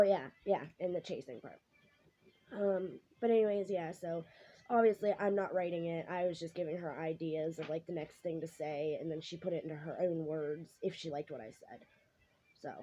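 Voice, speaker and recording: A woman, hoarse from losing her voice, chatting casually to her viewers in a rambling way. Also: fairly low pitch, warm tone. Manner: rambling, many ums and self-corrections. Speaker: vlogger. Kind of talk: casual chat to camera. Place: home kitchen while cooking. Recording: dull lo-fi home recording, faint noise.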